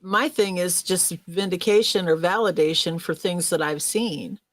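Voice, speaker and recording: A woman's voice: fairly low pitch, normal speed, dry recording. A woman talking casually on a podcast panel, with a self-deprecating, joking manner. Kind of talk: casual conversational discussion.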